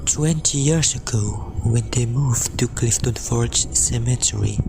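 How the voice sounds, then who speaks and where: A man in an amateur radio drama, speaking in a slow, ominous way. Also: medium pitch, vocal fry, heavy background noise.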